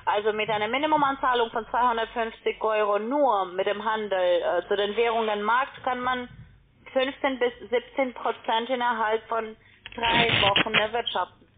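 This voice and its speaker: A woman speaking as a non-native, giving a wordy, insistent sales pitch as a call-centre agent. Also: fairly even intonation, normal speed. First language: not German. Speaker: call-centre agent selling online trading. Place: phone call. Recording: tinny, band-limited phone line.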